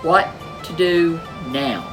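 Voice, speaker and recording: A woman reading a folk tale aloud as a storyteller, expressive and animated. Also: deep voice, takes it slowly, music in the background. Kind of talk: storybook read-aloud narration.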